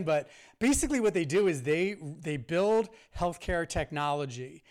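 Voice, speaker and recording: A man's voice: fairly high pitch, clear, resonant tone. A man co-hosting a podcast, introducing a guest with enthusiasm. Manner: enthusiastic, repeats "amazing". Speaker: podcast co-host. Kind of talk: casual podcast chat.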